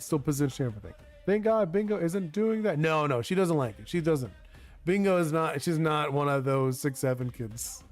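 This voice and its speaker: Chatty young man, music faintly behind. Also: on the high side. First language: Spanish. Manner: chatty, rambling, lots of "like" and restarts. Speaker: streamer designing a card game. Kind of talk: casual live-stream chat with viewers.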